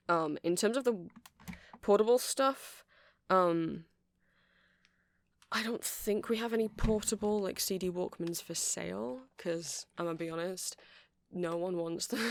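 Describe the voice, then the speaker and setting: Creaky-voiced, hesitant, nerdy shop clerk performed as ASMR roleplay. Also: mid-range voice, no echo. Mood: chatty, sheepish. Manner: hesitant, rambling, self-deprecating, nervous little laughs. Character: nerdy, eager, apologetic vampire music-shop clerk.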